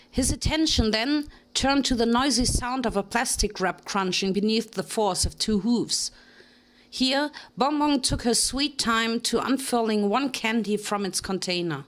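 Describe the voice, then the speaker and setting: A woman narrating a fan fiction story in a dramatic reading, with steady storytelling delivery. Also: fairly high pitch. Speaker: reader.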